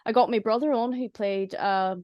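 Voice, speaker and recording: Chatty woman, close dry sound, higher voice. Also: steady tone, moderate pace, no room sound, muffled recording. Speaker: audio drama writer and voice actor. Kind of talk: casual chat.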